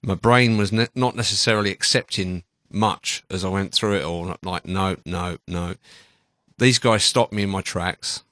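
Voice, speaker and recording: Low-voiced man hosting casual radio. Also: normal speed, bright, forceful tone, dry recording, studio quality. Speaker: radio show host. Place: radio studio.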